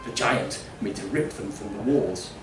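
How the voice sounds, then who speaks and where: A man's voice: lowish voice, normal speed, over music. Man reading a story, roomy and distant. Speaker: story reader. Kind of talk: dramatic literary story narration. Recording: roomy, distant miking with faint noise.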